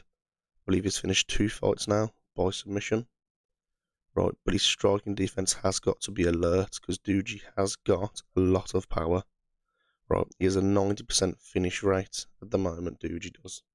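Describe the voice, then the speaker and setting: A croaky man who is losing his voice talks through fight picks in a relaxed, chatty way, dropping "right" as a filler. His voice sits very low, and the sound is close and dry with no room around it. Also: no echo. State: hoarse, under the weather. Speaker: MMA channel host. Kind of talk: casual fight predictions monologue.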